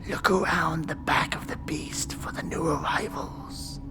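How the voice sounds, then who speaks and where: A performer whispering as a dry, weary demon judge of hell who instructs a trainee in a measured, deadpan horror ASMR role-play. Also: mid-range voice, natural voice. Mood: deadpan warning. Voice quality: breathy creaky whisper. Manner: slow, measured, deliberate, deadpan. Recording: music behind close whispered voice. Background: music.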